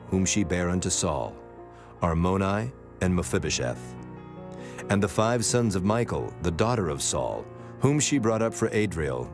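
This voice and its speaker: A man narrating a dramatized scripture reading in a solemn, measured manner. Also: low-pitched. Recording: prominent music behind the voice.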